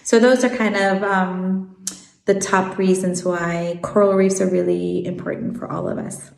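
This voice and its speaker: A woman in her thirties with an American accent, a marine biologist explaining things in an interview, measured and full of "um" fillers. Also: medium pitch, flat delivery, vocal fry, reverberant. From Swiss South African.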